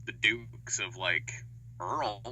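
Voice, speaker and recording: A man's voice: fairly low pitch, fairly even intonation, takes it slowly, pushed and bright, low-quality recording. A man chatting casually and colloquially as an interview guest over an internet call. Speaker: interview guest, podcast creator.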